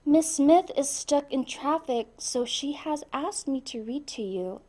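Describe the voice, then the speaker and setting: A woman's voice: high-pitched, animated, unhurried, warm tone. A woman voicing an easily alarmed school principal in a children's storybook. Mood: matter-of-fact. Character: flustered, frightened school principal.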